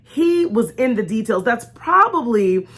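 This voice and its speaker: A woman hosting an informal faith talk in an animated, conversational way, stressing and repeating key phrases and acting out imagined remarks. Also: on the high side, a little slow, breathy edge. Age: adult with young children. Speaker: host of a faith storytime show.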